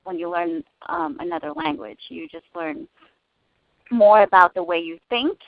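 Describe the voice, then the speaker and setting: A young woman who grew up speaking Cantonese, talking in a casual interview in an earnest, thoughtful way with frequent pauses to find her words. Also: high voice, big pitch swings, gravelly, no room sound. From United States. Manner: earnest, thoughtful, many hesitations. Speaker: interview guest. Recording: dull lo-fi dry recording.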